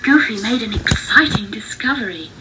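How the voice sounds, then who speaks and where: A woman narrating a children's read-along storybook with deliberate, expressive phrasing. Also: medium pitch, heavy background noise.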